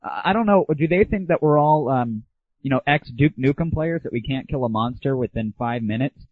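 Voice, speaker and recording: A man's voice: medium pitch, expressive, moderate pace, no room sound. A man's voice comes through narrow, phone-like lo-fi internet audio. He hosts a gaming show as an informal one-man rant. His delivery is crude and sarcastic, with exaggerated griping. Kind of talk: informal solo rant and commentary.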